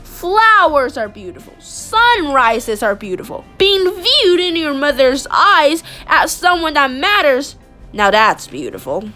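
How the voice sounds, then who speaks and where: A woman with a high-pitched voice reads a children's story aloud. Her delivery is expressive and animated, with dramatic emphasis on key phrases. Faint music plays behind her. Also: slow pace. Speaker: storybook reader. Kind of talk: expressive read-aloud of a children's picture book.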